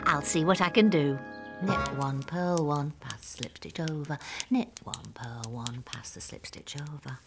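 A woman voicing a gently firm, motherly queen in a children's cartoon, speaking in a measured way. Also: low voice. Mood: absorbed. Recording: music behind the voice.

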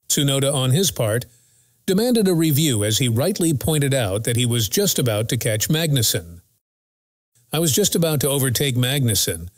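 A man narrates a scripted motorsport recap. His pitch is mid-range and the sound is dry and close, with no room echo. He presents it as a news channel's narrator.

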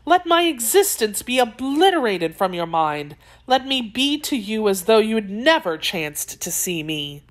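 A woman's voice: on the high side, sing-song, measured pace, few pauses, voice pushed up. A woman voicing a secretive, imperious young Frenchwoman in an audiobook, speaking in a formal, emphatic and guarded way. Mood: earnest pleading.

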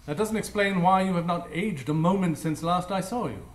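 A man playing a cool, aloof, analytical gentleman detective in a live radio drama, clipped, formal and guarded. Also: sits high, moderate pace, lightly acted. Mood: cool, probing. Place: live performance space before an audience. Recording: roomy, echoing live stage recording.

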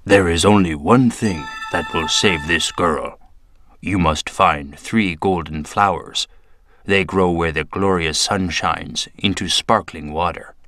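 An actor playing a solemn, deliberate elder man in a children's island folktale. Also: deep voice, a bit bright. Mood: grave. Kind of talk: children's storybook character acting.

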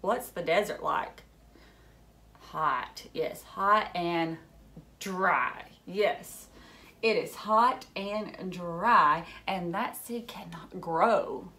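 A woman in her thirties reading a storybook aloud to young children like a teacher, expressively, with questions and little asides. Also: fairly low pitch. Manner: expressive, drawn-out storybook delivery. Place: furnished living room at home, indoors.

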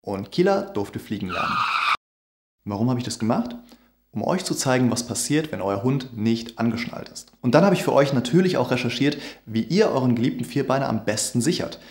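A man's voice: mid-range voice, expressive, a little fast, a little mellow. Earnest man explaining to camera, roomy sound. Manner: earnest, emphatic, gestures with hands. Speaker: veterinarian YouTuber. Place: dim home studio with hanging bulbs. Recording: roomy echo.

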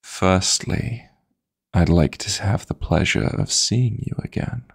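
A man voicing a possessive, flirty yet tender dream demon lover in an intimate ASMR sleep-aid roleplay, coaxing in a husky, breathy voice with formal endearments. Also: deep voice, takes it slowly, full character voice. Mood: teasing, flirty. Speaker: audio roleplay performer.

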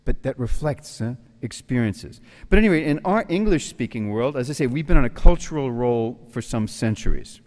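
An American priest in his sixties from New York City, giving an animated retreat talk at a lectern in a large hall. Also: medium pitch, normal speed, hardly any pauses. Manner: animated, gestures with both hands, tells jokes. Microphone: stand mic at the lectern. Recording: long hall reverb.

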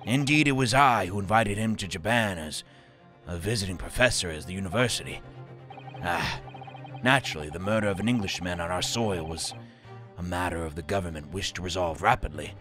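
A man reading a formal middle-aged male professor's lines in a measured, solemn way. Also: low-pitched, bright, forceful tone, faint music behind, voice pushed down.

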